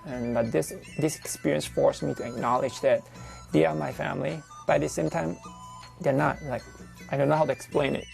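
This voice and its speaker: A man with a Malaysian accent, speaking English as a second language, talks personally and without a script, haltingly searching for words. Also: on the high side, fairly even intonation, vocal fry, a little mellow, soft background music, poor audio. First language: not English. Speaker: visual artist interviewed for a TV profile. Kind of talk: unscripted personal storytelling and explanation of own work.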